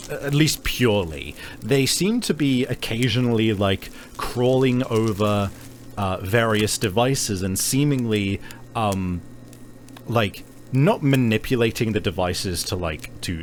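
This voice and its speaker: A man narrating a tabletop roleplaying game as game master, in a halting, conversational storytelling manner. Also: mid-range voice, a little hiss or hum.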